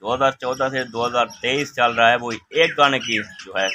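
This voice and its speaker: A man's voice: mid-range voice, moderate pace. A man with a Pakistani accent chatting casually and emphatically, repeating phrases as he makes his point. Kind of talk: casual chat, opinionated monologue. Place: outdoors in a village yard. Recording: outdoor phone recording with clear background noise.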